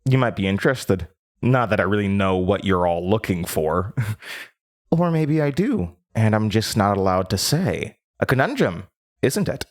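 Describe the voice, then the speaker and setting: A man's voice: lowish voice, big pitch swings, normal speed. A man playing a gleeful, unsettling word-devouring entity in a horror audio drama, theatrical and savoring each word. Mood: gleeful, taunting. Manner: theatrical, giggling, savoring words.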